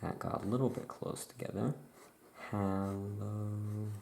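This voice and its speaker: Slow, low, creaky soft-spoken ASMR shopkeeper. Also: flat delivery, slight background noise. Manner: unhurried, rambling, thinking aloud. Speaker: host playing a witch shopkeeper. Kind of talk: soft-spoken ASMR roleplay, casual improvised chat.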